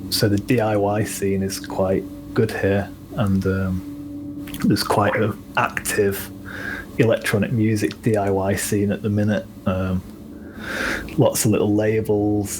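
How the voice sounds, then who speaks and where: Low-voiced Northern English man, rambling. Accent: Northern English (Manchester). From England. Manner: low-key, rambling, many ums and you-knows. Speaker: guest musician. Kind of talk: casual podcast conversation. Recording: audible background noise.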